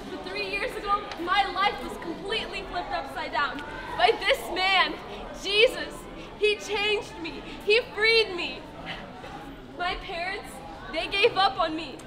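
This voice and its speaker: A woman acting an impassioned female disciple of Jesus in a live church stage play, with dramatic pauses. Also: very high voice. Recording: large hall with audience noise.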